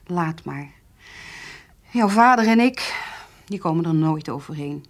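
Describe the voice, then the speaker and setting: An actress playing an anxious, stubborn middle-aged farmer's wife and mother, speaking haltingly with a husky, breathy voice in a radio drama. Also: low-pitched, expressive. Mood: distressed. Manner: halting, repeating words, fretful.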